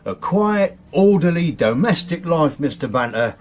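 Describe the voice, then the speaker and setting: An actor playing a courteous, sympathetic English domestic servant in a radio drama, with a formal, deliberate way of speaking. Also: male voice, a little high, very animated, a little mellow, a little hiss or hum. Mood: sympathetic, sedate. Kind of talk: British radio drama acting.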